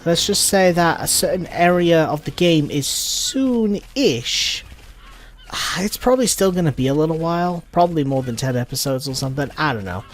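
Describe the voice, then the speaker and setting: A man giving casual gameplay commentary as a let's play host. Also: on the high side.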